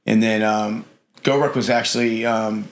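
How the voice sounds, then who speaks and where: A middle-aged man on a podcast with a low, gravelly voice that stays fairly flat. He rambles through his answers in a relaxed way, scattering fillers and "you know". The delivery feels unpolished and conversational. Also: unhurried, a little hiss or hum. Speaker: podcast guest. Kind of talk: casual interview chat.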